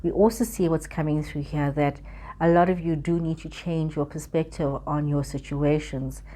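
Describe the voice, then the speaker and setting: Low, mellow-voiced woman giving a tarot reading. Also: fairly even intonation, moderate pace. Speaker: tarot reader hosting her own channel. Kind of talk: semi-improvised spiritual reading addressed to the viewer.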